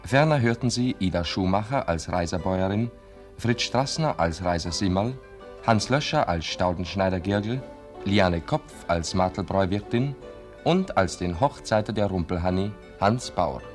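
Low-pitched male radio announcer, faint music. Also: lively intonation, normal speed. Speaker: radio announcer reading closing credits. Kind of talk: formal 1950s radio announcement.

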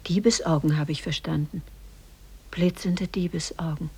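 An actress playing a worried, pleading mother of a sick son, anxious and hushed, in a 1950s German radio drama. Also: low-pitched, fairly even intonation, normal speed, slightly rough, heavily acted.

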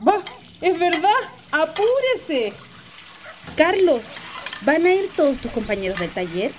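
A woman's voice: high-pitched, sing-song, a little slow. An actress playing a nagging but caring Chilean wife and mother in a radio drama, chiding and inquisitive. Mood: chiding, busy. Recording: clear background noise.

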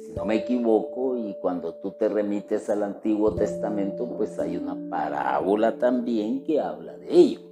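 Slow-spoken man teaching over guitar music. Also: fairly low pitch. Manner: slow, deliberate, speaks directly to the listener. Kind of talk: religious teaching talk. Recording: guitar music playing behind the voice. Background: plucked guitar music.

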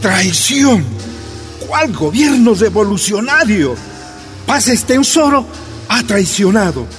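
A man's voice: high-pitched, sing-song, slow pace, soft background music, full character voice. An actor playing a hot-tempered, threatening working-class Bolivian father in a radio drama. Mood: outraged.

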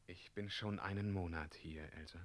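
An actor playing a bitter, weary heroic knight in a classic German radio play, theatrical, moving between hushed and thundering delivery. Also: male voice, low-pitched, fairly even intonation, normal speed, close to an everyday voice. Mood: tender, anguished.

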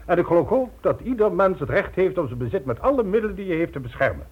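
An actor playing a smug, urbane crime boss in a radio play, speaking pompously with mocking politeness and condescension. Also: male voice, sits high, very animated, quick pace, breathy edge, exaggerated voice. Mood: grandiose, self-assured. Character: smug, urbane male crime syndicate boss posing as philanthropist. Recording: muffled old radio drama recording.

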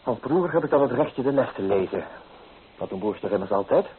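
A man's voice: mid-range voice, lively intonation, fairly quick, slight background noise, natural voice. Tinny radio-play recording, actor as sardonic flirtatious man. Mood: sardonic, reproachful. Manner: teasing, theatrical, persuasive.

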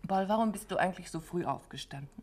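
An actress playing a detective's quick, inquisitive wife who banters and needles her husband, in a 1950s radio play. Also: lowish voice, darkish tone, natural voice. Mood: coaxing.

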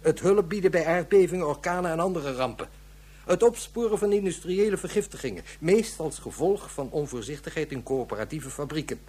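An actor playing a grave, cautious interplanetary police inspector in a radio drama, speaking in a deliberate, official manner. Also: male voice, on the high side, expressive, a little mellow, slightly rough.

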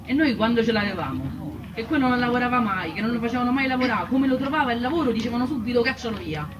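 A woman's voice: fairly high pitch, animated, fast talker, highs cut off, close to an everyday voice. An actress playing a poor Roman woman from an antifascist family, giving insistent, colloquial, repetitive testimony in a Roman accent. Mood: indignant. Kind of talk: theatrical first-person testimony. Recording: distant stage sound with clear noise.

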